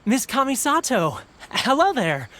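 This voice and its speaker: A man's voice: very high voice, wildly swooping pitch, a little slow, bright, forceful tone, a little hiss or hum, full character voice. A man playing a welcoming, deferential fortune-slip seller in an anime-style video game, obliging and effusive.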